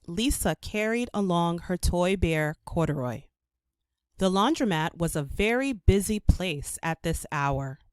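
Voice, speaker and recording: A woman storyteller giving an expressive read-aloud narration of a children's book. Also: lowish voice, clear, resonant tone, no echo, studio quality.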